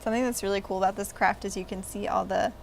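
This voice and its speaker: A woman's voice: medium pitch, fairly even intonation, quick pace, gravelly, a little hiss or hum. A woman leading a children's library craft, explaining each step simply and in a friendly way.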